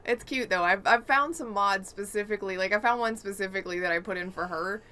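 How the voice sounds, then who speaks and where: A woman's voice: mid-range voice, quick pace, bright, forceful tone. A woman co-hosting a podcast, chatting casually about games. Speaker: podcast co-host. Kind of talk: casual gaming chat.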